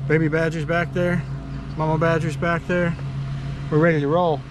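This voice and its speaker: A man's voice: fairly high pitch, level tone, normal speed. A man in his fifties vlogging casually to an action camera on his motorcycle trip, easygoing and self-mocking as he talks between rides and at gas stops. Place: outdoors, riding a motorcycle and at gas stations. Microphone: action camera mounted on the bike. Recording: loud wind and road noise. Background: loud road and engine noise.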